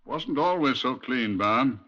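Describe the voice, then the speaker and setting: An actor playing a genial senior Scotland Yard officer, chatty and explanatory in a 1950s radio drama. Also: male voice, medium pitch, expressive, slow, sharp tone, heavily acted. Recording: muffled vintage 1950s radio recording.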